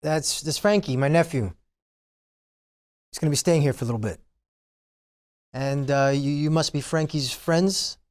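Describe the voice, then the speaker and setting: A man playing an easygoing, kindly uncle who owns a club, speaking casually and haltingly in a table read. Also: a little high, darkish tone, natural voice. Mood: hesitant. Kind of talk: screenplay table read acting.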